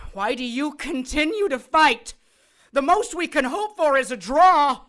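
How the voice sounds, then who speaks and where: Woman playing a high-voiced, gloating sorcerer villain. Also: animated, unhurried, fairly bright tone. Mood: taunting. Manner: theatrical, taunting, megalomaniac. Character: gloating, scheming immortal male sorcerer villain. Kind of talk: audio drama villain acting.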